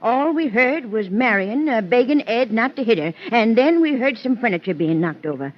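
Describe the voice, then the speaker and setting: A woman's voice: fairly high pitch, lively intonation, moderate pace, lightly acted. Woman acting a fussy, indignant country wife. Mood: flustered, realizing. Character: indignant gossipy country wife, stubbornly loyal. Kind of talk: 1940s radio mystery acting. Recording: dull vintage radio recording.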